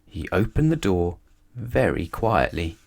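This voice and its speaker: Casting: male children's story reader, lowish pitch, very animated delivery. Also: measured pace, gravelly. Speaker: storybook narrator. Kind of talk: expressive children's read-aloud.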